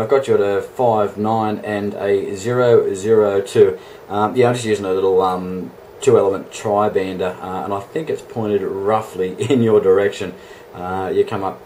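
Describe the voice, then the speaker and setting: Aussie bloke, lowish voice, laid-back ham radio chat, matey, bit of background noise. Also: male voice, darkish tone. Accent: Australian. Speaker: amateur radio operator. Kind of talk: casual two-way radio chat.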